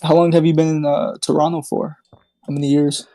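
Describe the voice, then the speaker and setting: A man's voice: a little high, flat delivery, a little fast, mellow, no room sound. A man calling in to ask questions, hesitant and often answering "I see". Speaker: viewer asking questions on call. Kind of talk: casual chat.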